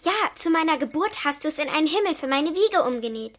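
A woman's voice: sits high, animated, fairly quick, slight background noise. A female actor playing an eager, earnest young granddaughter in a German children's radio play. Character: young granddaughter Stella, eager, chatty, a little remorseful. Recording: music under the voice.

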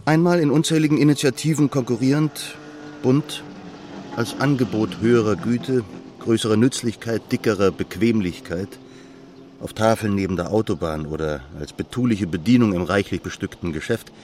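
An actor in a radio play playing a reflective, articulate journalist who speaks in a deliberate, wordy way. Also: male voice, on the low side, darkish tone, slight background noise, close to an everyday voice. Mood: reflective, measured. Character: reflective male West German journalist, measured and articulate.

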